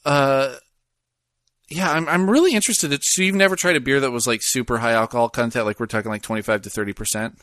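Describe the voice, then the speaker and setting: A man co-hosting a podcast, chatting casually and rambling a little as he reads out a news item, with many pauses and fillers. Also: medium pitch, fairly bright tone, no room sound, clean studio sound. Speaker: podcast co-host. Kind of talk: casual chat.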